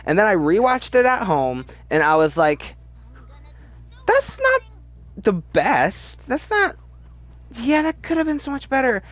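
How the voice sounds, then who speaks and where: A man's voice: very high voice, very animated, a little slow, pauses often, a bit bright, no room sound. A man hosting a casual, opinionated film ranking video, rambling as he goes and often repeating words for emphasis. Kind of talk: casual opinionated movie commentary.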